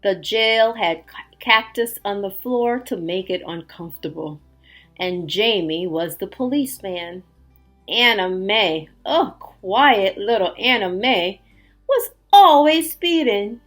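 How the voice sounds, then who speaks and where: A woman teacher reading a storybook aloud to children, expressive and emphatic, with little chatty asides. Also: medium pitch, slow pace, faint music behind.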